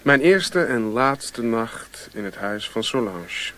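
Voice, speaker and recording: An actor playing a wry, questioning European adventurer in a radio drama, speaking in a dry, probing way. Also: male voice, mid-range voice, expressive, takes it slowly, fairly bright tone. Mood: wry, curious.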